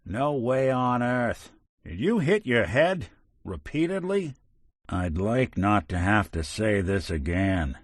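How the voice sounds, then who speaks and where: An actor voicing a weary synth detective in a video game, a gruff middle-aged man with a dry, wisecracking manner. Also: fairly low pitch, sing-song, takes it slowly, dry recording, full character voice. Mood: wry. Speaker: companion character. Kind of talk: game character barks.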